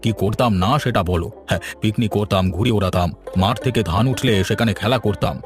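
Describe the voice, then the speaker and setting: A man's voice: lowish voice, fairly quick, over music, natural voice. A man playing a nostalgic, folksy old village husband in a cartoon, often complaining. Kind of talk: cartoon dialogue.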